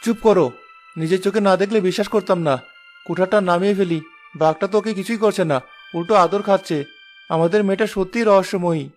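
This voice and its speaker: A man's voice: sits high, fast talker, darkish tone, soft background music, lightly acted. A man voicing a cautious, protective village father in a cartoon fairy tale, speaking earnestly. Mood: alarmed awe.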